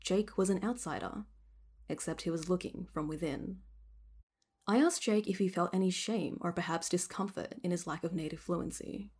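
A woman with an Australian accent reading scripted documentary narration in a measured storytelling manner as the host. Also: fairly low pitch, darkish tone, breathy edge.

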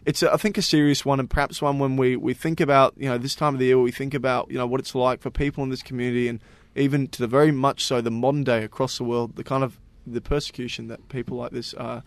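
Brief: male podcast co-host, mid-pitched, chatty and filler-heavy, dry close mic. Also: little pitch movement, fast talker, few pauses, no room sound. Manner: chatty, lots of fillers like "you know" and "uh". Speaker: podcast co-host presenting stories. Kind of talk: casual group chat.

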